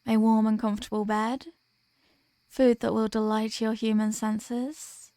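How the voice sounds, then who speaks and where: A woman's voice: fairly high pitch, flat delivery, a little slow, warm tone, dry recording, clean studio sound, lightly acted. A woman playing a possessive, dominant vampire in an ASMR roleplay, speaking in a teasing, condescending manner with formal phrasing. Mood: persuasive, smug. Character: possessive, dominant female vampire toying with captive prey. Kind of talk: ASMR roleplay, scripted intimate monologue.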